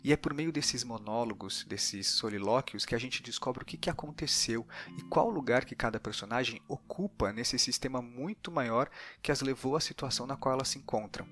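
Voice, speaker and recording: A man's voice: medium pitch, a bit bright. A man with a Brazilian accent narrating a scripted podcast as its host.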